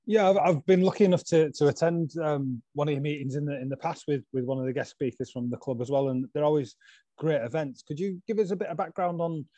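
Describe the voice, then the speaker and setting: A man's voice: fairly high pitch, fast, dark tone, no room sound. A man hosting a podcast discussion, introducing guests and often stumbling and repeating words. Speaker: podcast host.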